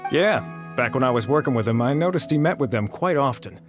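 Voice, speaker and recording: A man acts out a disgruntled researcher in a video game. His voice is middling in pitch, with a blunt, principled and dismissive delivery. Background music runs underneath. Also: expressive, fairly quick, close to an everyday voice. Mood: matter-of-fact. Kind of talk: video game character acting.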